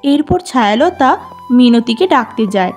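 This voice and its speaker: A woman's voice: a little high, animated, measured pace, a little mellow, soft background music. A woman reading scripted storybook narration for a cartoon fairy tale.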